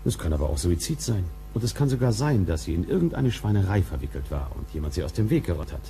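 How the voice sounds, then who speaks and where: Grim male police boss: commanding, lowish voice, very varied intonation, crime radio drama. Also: very animated, fast, dark tone, a little hiss or hum. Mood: grim, pressing.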